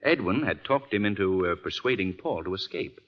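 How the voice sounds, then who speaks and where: An actor playing an assured, urbane gentleman sleuth in a polished 1940s radio drama style. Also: deep voice, animated, brisk. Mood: grave, explanatory. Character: suave, assured gentleman amateur sleuth, adult man. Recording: muffled vintage 1940s radio recording.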